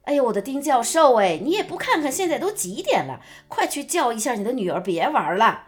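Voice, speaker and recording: A woman's voice: fairly high pitch, sing-song, brisk. A woman playing a fussing, coaxing middle-aged mother in a radio drama, with an exclamatory way of talking. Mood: nagging. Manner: nagging, exclamatory. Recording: music under the voice.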